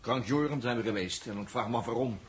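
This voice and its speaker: Fast-talking, sardonic young spaceman played by an actor. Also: medium pitch, lightly acted. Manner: sardonic, brisk. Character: cynical, wisecracking young male crewman. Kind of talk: 1960s radio drama acting.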